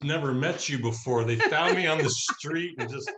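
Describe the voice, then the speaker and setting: A man's voice: a little high, moderate pace, a bit bright, a little hiss or hum. A man talking casually and wryly as a podcast guest, with a roomy, echoing sound. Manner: wry, joking. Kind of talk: casual conversational interview.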